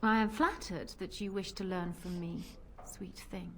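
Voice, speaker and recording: Noisy game audio, a confident, flirtatious pirate captain. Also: female voice, on the low side, steady tone, unhurried, fairly bright tone. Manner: teasing, self-assured, theatrical. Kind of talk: video game voice acting.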